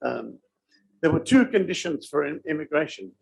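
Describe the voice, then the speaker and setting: A man from Australia giving a conference talk, pausing often with ums and uhs. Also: a little high, lively intonation, gravelly, husky, poor audio. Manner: hesitant, many ums and uhs. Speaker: conference speaker.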